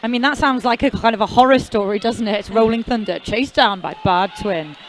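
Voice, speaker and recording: A woman's voice: fairly high pitch, brisk, a little hiss or hum. A woman commentating roller derby live, chatty and jokey, with rambling asides. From half Welsh, never lived in Wales. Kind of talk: casual live sports commentary.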